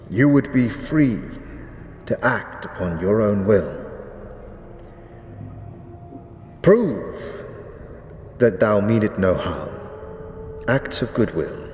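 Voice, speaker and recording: An actor playing a solemn, stern undead priest, devout and archaic in speech, in a fantasy audio drama. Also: male voice, fairly low pitch, exaggerated voice. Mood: measured, conditional. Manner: archaic, grave, measured pronouncements. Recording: echoey stone hall reverb, faint noise.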